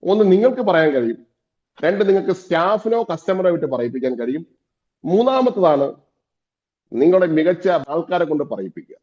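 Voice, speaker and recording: A dull, slightly muffled recording of a man coaching business owners. His voice is soft-edged and mellow, sitting a little high for a man. He teaches emphatically, repeating key phrases as he instructs. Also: sing-song, a little fast, pauses often.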